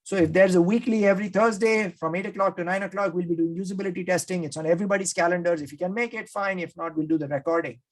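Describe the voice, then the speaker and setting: A man's voice: sits high, fairly even intonation, fast, few pauses. A man hosting an interview, enthusiastically recapping his takeaways and acting out quoted speakers. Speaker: podcast host.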